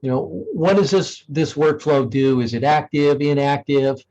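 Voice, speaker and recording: A man presenting an informal software demo in a conversational, explanatory way, thinking aloud as he goes. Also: on the high side, a little slow, lo-fi sound. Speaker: software demonstrator.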